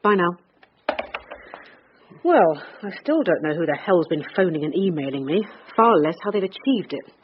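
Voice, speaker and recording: An actress playing a brisk, dogged British police detective in charge, reasoning aloud in a naturalistic radio drama. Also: female voice, on the low side, very animated. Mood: businesslike. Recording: dull radio recording with faint noise.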